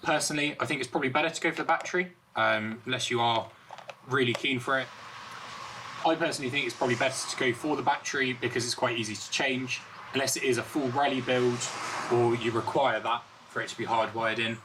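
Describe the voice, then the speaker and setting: A man presents gear in an informal vlog style, explaining each step as he goes. His voice sits at an ordinary middle pitch. There is clear ambient noise behind him. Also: fairly even intonation, fast. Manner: informal, explanatory, thinking aloud. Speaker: vlogger reviewing and installing car gear. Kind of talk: casual product review and hands-on demonstration.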